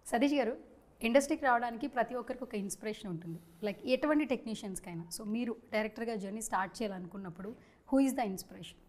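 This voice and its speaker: A woman interviewer. She talks fast and chattily, dropping English words into a casual promotional conversation. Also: on the high side, darkish tone. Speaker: interviewer, host. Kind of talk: casual film promotion chat.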